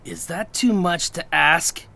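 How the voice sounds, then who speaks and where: A man's voice: fairly high pitch, slow pace, gravelly, sharp tone, exaggerated voice. A man playing an irritable, eccentric intelligence agency chief in a comic audio drama, exasperated and given to barking orders. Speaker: voice actor. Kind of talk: comic sci-fi audio theatre acting.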